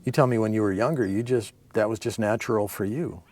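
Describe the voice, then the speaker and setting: Low-voiced calm male interviewer. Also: fast, no room sound. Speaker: interview host. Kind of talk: interview conversation.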